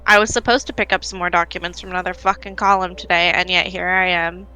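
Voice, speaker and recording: A woman's voice: on the low side, fairly even intonation, fast talker, sharp tone, clear, resonant tone, rough recording. A woman voicing a busy, blunt, guarded male furry alien station worker in a visual novel, with casual speech. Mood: irritated. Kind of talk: visual novel character reading. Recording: music under the voice.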